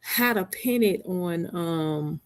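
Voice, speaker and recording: Middle-aged woman, unhurried livestream host on a headset mic, chatty and laughing, greets viewers by name. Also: lowish voice, lively intonation. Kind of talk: casual live-stream chat with viewers.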